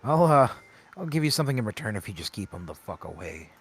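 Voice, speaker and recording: A man's voice: on the low side, sing-song, fast, creaky. A man voicing a shifty, evasive, teasing goat owner in an improvised comedic fan dub of a fantasy game, with stammering, repetitive delivery. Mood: dismissive, teasing. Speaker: amateur live fan-dub voice actor. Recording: background music under the voice.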